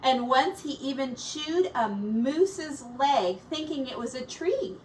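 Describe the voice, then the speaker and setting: A woman teacher reading a children's picture book aloud expressively, pausing to explain words and comment on the pictures. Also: high voice, expressive. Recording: distant mic with faint background noise.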